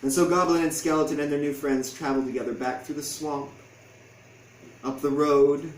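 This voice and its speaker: A man's voice: on the high side, fairly even intonation, normal speed. A man reading a picture book aloud to an audience as its author, in storytelling style. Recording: echoey room, distant mic, faint noise.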